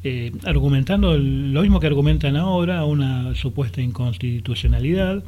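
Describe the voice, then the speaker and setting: A man with a porteño accent from Buenos Aires, a lawyer who is a guest on a radio interview, explains things at a relaxed pace with many fillers and restarts. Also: mid-range voice, moderate pace. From Argentina. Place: radio studio.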